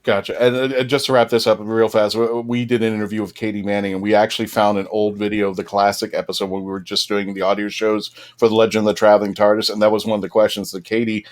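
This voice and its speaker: Male podcast host, lowish voice, chatty and enthusiastic fan-panel talk. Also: flat delivery, fast talker, hardly any pauses. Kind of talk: casual fan panel discussion.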